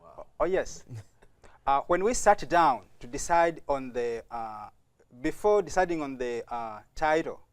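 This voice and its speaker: A man's voice: mid-range voice, animated, measured pace, smooth. A man from Uganda who belongs to a youth music group, answering interview questions politely and earnestly. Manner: polite, earnest, often says thank you. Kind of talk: studio interview guest. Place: TV studio.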